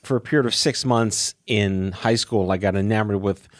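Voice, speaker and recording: A man's voice: on the low side, steady tone, normal speed, dry recording, studio quality. A man hosting a healthcare podcast, talking casually with a joking, irreverent manner and the occasional swear. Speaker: podcast host. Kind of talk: casual interview chat.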